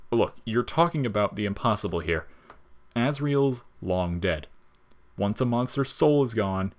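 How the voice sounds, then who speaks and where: A man's voice: sits low, fairly bright tone. Guy doing a wry skeleton monster for an audio story, slangy and jaded, kinda jolly but bitter underneath. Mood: reluctant. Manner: slangy, sardonic, explaining things casually.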